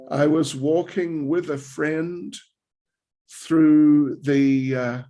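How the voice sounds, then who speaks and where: A man in his seventies from New Zealand, giving a relaxed spiritual class as a visiting swami, rambling slowly with self-deprecating asides. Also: a little high. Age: 70s. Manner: slow, rambling, self-deprecating with light laughs.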